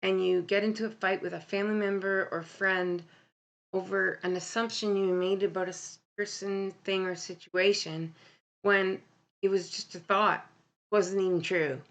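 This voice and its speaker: A woman with a deep voice hosting a casual live stream, talking candidly and bluntly with many ums and self-corrections. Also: level tone, normal speed, fairly bright tone, lo-fi sound. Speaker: livestream host. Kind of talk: casual live-stream talk about mental health.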